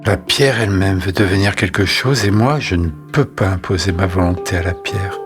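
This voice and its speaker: An actor playing an exhausted, obsessed sculptor, intense and repetitive, in a French audio drama. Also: male voice, deep voice, normal speed, natural voice. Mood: feverish, entranced. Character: Amine, obsessed, exhausted male sculptor on the edge of madness. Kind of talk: French fiction podcast acting. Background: music.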